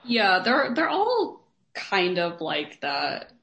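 A woman's voice: mid-range voice, huge pitch swings, takes it slowly, gravelly, a bit bright. A woman co-hosting a podcast, casually discussing a story and tentatively searching for her words. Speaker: podcast co-host.

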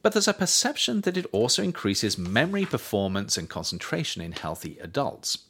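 A man reading his own nonfiction book aloud as scripted narration, with measured, deliberate phrasing. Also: fairly low pitch, big pitch swings, fairly bright tone, a little hiss or hum.

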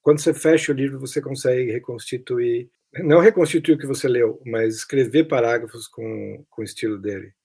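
A man's voice: medium pitch, brisk, gravelly, hardly any pauses, mellow, husky. A man with a Brazilian accent talking casually and reflectively on a podcast, sometimes hesitating as he searches for words. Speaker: podcast host. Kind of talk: casual chat about literature.

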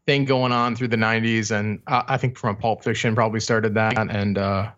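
A man with a slightly low voice co-hosts a music podcast. He reviews albums casually, in a loose conversational way, feeling his way through each opinion. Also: steady tone, normal speed, fairly bright tone, muffled recording.

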